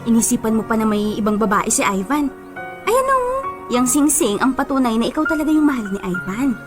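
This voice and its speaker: An actress with a Filipino accent playing a supportive, teasing young best friend in a radio drama, chatty and animated. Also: female voice, a little high, brisk, over music. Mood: reassuring.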